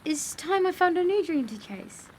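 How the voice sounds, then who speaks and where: An actress playing a firm, wistful young video maker, earnest and plain-spoken, in an audio drama. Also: female voice, very high-pitched, very animated, normal speed, a little hiss or hum, low-quality recording. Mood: resolute, wistful.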